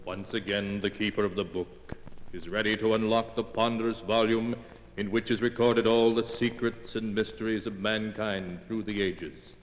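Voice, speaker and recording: A portentous radio announcer from the 1940s, played by a man. His lowish voice is measured and grandiose, swimming in long reverb. He introduces mysteries with great solemnity. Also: flat delivery, natural voice.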